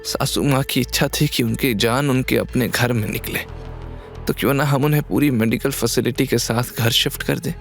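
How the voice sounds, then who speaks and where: A man's voice: medium pitch, moderate pace, vocal fry, soft background music, close to an everyday voice. A man voicing a scheming middle-aged daughter-in-law in an audio drama, full of theatrical concern. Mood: fake sorrow. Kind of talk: Hindi audio drama acting.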